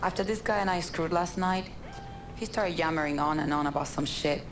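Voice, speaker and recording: A woman's voice: on the low side, brisk, music in the background, close to an everyday voice. An actress playing a hard-bitten woman smuggler with a blunt, foul-mouthed manner in a game cutscene. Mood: brisk, demanding. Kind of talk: naturalistic video game cutscene acting.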